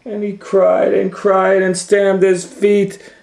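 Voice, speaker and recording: A man reading a children's storybook aloud as a host, in a dramatic, teacherly manner, pausing to comment and ask questions. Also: sits high, monotone, vocal fry, a little mellow. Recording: echoey room with faint noise.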